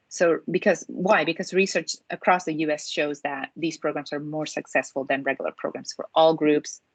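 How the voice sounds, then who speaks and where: A low-pitched woman from Spain whose first language is Spanish explains a school program over a video call. She pauses often with ums and restarts her sentences. The sound is dry and close. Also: no echo. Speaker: dual language program presenter. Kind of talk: presenting slides on a video call.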